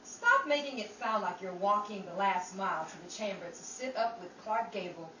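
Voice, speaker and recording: A woman's voice: mid-range voice, lively intonation, moderate pace, close to an everyday voice. A woman playing a cautious, practical young friend in a theatrical 1930s New York radio comedy. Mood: wry, exasperated. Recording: noisy roomy stage recording, distant mic, audience.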